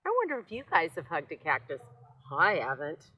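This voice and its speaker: An older woman reads a children's story aloud, gently pausing to talk to her young listeners. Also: low voice, very animated, slightly rough. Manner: gentle, unhurried, addressing children.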